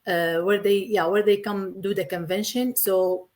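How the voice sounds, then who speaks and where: A woman's voice: medium pitch, fairly even intonation, a little slow, a little mellow. A woman from Syria in her thirties, a guest in a casual interview, talking earnestly in simple, repetitive English. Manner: earnest, repeats herself, simple non-native English.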